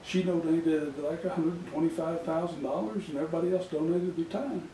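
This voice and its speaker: Older man, chatty storyteller, soft mellow voice, miked from afar in a roomy office. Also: a little high, fairly even intonation, brisk, a little hiss or hum. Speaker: interviewee. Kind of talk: casual interview. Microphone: far camera. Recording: echoey office from far off.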